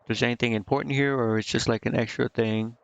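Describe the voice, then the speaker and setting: A man's voice: medium pitch, steady tone, fast talker, vocal fry, smooth, dry recording, lo-fi sound. A man giving casual gameplay commentary, indecisive and muttering to himself as he streams. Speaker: game streamer. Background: game music.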